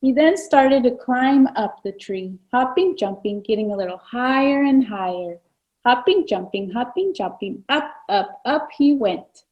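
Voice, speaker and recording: A woman's voice: a little high, expressive, a little slow, lo-fi sound. A woman author reading her own picture book aloud to children. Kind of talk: children's read-aloud narration.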